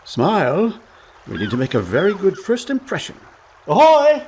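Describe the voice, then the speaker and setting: Man playing a wildly animated, glib con man. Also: sits high, normal speed, a little hiss or hum, low-quality recording. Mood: breezy, teasing. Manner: glib, theatrical, cajoling. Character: breezy, smooth-talking older brother, con man. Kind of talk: comic radio play acting.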